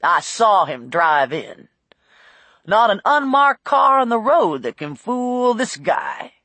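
A woman's voice: medium pitch, very animated, slow pace, a bit bright, no room sound, pitched above the performer's own voice, heavily acted. A woman voicing a portly old male cab driver in an audiobook, garrulous and folksy, with colloquial, ungrammatical speech. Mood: chatty, nosy.

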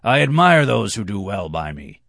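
A man's voice: on the low side, sing-song, normal speed, gravelly, fairly bright tone, no room sound, clean studio sound, pitched above the performer's own voice, heavily acted. A man voicing a drunken, boastful, hot-tempered red-haired banker.

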